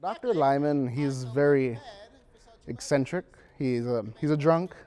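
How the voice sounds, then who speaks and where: A teenage male student actor speaking casually in an interview, haltingly and with repeated restarts. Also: mid-range voice, dark tone. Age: teens.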